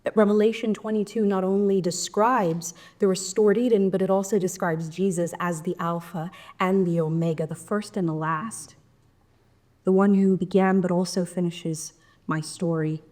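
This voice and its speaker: A woman painter reading a prepared artist statement in a measured, devotional tone. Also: lowish voice, fairly even intonation, darkish tone.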